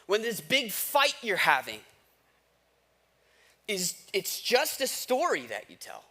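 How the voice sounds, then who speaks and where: High-pitched, bright-voiced American male preacher. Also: wildly swooping pitch, takes it slowly. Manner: emphatic, repeats phrases for effect. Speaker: pastor. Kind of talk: conversational sermon with rhetorical questions.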